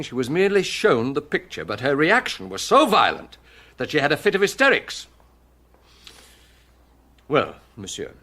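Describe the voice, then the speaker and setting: A man playing a hard-edged police detective in a radio drama, questioning in an insistent, sardonic, deliberate way. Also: mid-range voice, fairly bright tone. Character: relentless, hard-edged male police detective interrogating a suspect.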